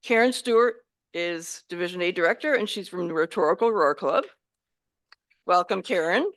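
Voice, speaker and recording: A woman's voice: fairly low pitch, lively intonation, normal speed, no echo. A woman chairing a hybrid club meeting, chatty and welcoming with light jokes. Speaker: meeting host. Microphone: room microphone.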